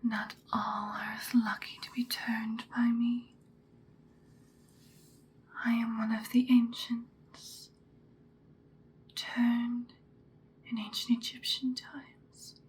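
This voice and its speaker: Woman doing an ancient vampire lady for asmr, whispery n super slow, seductive but menacing, bit of noise behind. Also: on the high side, little pitch movement. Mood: grave, alluring. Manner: slow, deliberate, breathy whispering. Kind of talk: ASMR personal-attention roleplay, whispered.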